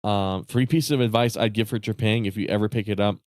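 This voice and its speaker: A man chatting casually with viewers on a live stream, rambling and swearing with lots of fillers, his voice starting to go raspy. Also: fairly low pitch, steady tone, a little fast, darkish tone, dry recording, clean studio sound. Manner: rambling, profane, many fillers and restarts. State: voice getting raspy.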